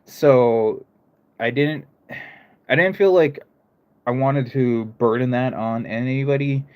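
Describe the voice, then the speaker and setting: A man's voice: medium pitch, slow pace, clear, resonant tone, dull audio. Man with a mixed American accent. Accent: mixed American, northern and southern. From United States. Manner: rambling, stops and restarts, talks to chat. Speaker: streamer. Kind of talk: casual live-stream chat.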